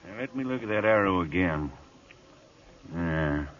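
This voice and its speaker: A senior army major, a man of measured, deliberate authority. His fairly deep voice sits a little back from the microphone. The old radio drama sound is dull. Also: animated, fairly bright tone, recorded from a distance. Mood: pondering, commanding. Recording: dull vintage radio, slightly off mic.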